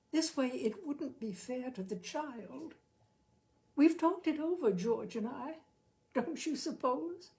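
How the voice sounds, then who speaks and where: Woman acting out an insistent, frustrated young wife, high-voiced. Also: a little mellow, full character voice. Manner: emphatic, insistent, halting self-justification. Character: young wife, frustrated, justifying herself to friends.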